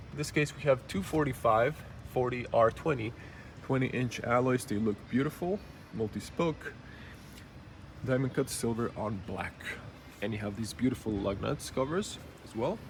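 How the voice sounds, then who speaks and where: A man with a slightly rough early-morning voice, giving a casual, unscripted walkaround review in halting, item-by-item phrases. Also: mid-range voice, slight background noise. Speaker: car reviewer. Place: car show exhibition space.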